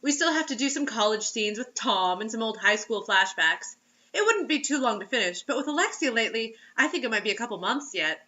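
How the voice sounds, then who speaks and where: A woman's voice: a little high, expressive, a little fast, sharp tone, muffled recording. A woman reading a story aloud as host, in a mocking dramatic way, breaking off for sarcastic casual asides.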